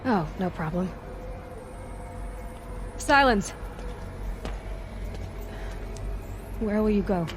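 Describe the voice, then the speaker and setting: Blunt young huntress, mid-pitched. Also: female voice, animated, slow, noisy background. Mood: disbelieving, sardonic. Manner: dry, sardonic, direct. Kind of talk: video game drama acting. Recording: music under the voice.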